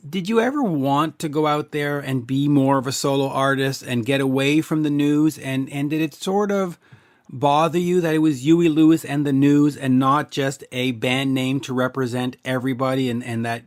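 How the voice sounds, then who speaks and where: A man's voice: medium pitch, normal speed. A male host asking long, rambling interview questions with many restarts.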